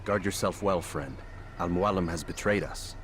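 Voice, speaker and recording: An actor playing a stern, resolute medieval assassin, terse and commanding, in a dramatic video game cutscene. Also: male voice, sits low, normal speed, a bit bright, a little hiss or hum. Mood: guarded.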